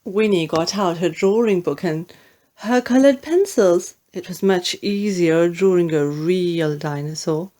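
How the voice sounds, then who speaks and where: A woman narrating a children's storybook in an expressive, deliberate way. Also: on the low side. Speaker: audiobook narrator.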